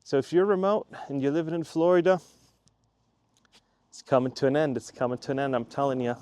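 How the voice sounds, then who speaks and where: A man's voice: mid-range voice, a little fast, darkish tone, no echo. A man from Switzerland who runs a YouTube channel, talking casually to the camera in a chatty, rambling way and repeating himself for emphasis. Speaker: YouTube creator.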